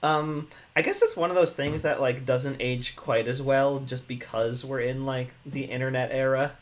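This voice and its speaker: A man talks casually about a video game at a middling pitch. He is hesitant, circling back over his words as he works out his opinion. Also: steady tone, normal speed. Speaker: host of a video game series.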